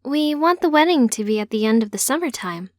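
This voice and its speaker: A woman's voice: sits high, animated, a little fast, a little mellow, no room sound, studio quality, pitched above the performer's own voice. A woman voicing an earnest, slightly sheepish grown daughter in an audiobook story. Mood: happy. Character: earnest young transgender woman, a teacher, confiding in mother.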